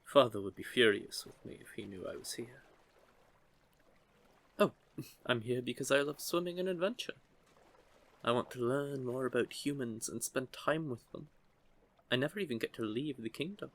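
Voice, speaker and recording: A man voicing a curious, teasing young merman in an intimate audio roleplay, with a gently formal, measured way of talking. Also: mid-range voice, lively intonation. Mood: wistful. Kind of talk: scripted audio roleplay, intimate one-sided conversation.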